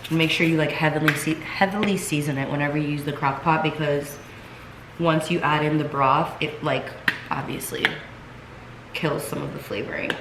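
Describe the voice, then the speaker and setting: Congested low-voiced woman chatting at home. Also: steady tone, moderate pace. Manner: chatty, filler-heavy, talks straight to viewers. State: congested, recovering from illness. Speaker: vlogger. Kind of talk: casual chatty vlog. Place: home kitchen, then seated at home. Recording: echoey room with noticeable background noise.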